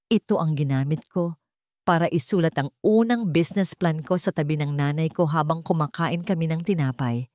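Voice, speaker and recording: A woman's voice: deep voice, darkish tone, no room sound, studio quality, close to an everyday voice. A woman voicing a stern, righteous male chairman with a controlled, commanding manner in a drama story. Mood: solemn, grateful. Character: stern, righteous male company chairman, wealthy, grateful son. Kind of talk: dramatic audio storybook acting.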